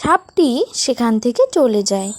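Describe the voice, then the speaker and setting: A woman's voice: on the high side, big pitch swings, normal speed, noisy background. A woman narrating a scripted children's cartoon story.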